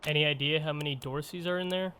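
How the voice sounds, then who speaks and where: A man's voice: a little high, steady tone, fast talker, bright, forceful tone, slight background noise. A man reading a video game's dialogue and narration aloud as the player. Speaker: gamer voicing player lines and narration.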